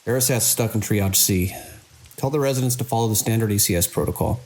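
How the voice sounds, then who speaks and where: A man playing a weary, caring middle-aged hospital director in an audio drama, measured and dry with small hesitant pauses. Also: lowish voice, darkish tone, lightly acted. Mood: tired, reassuring.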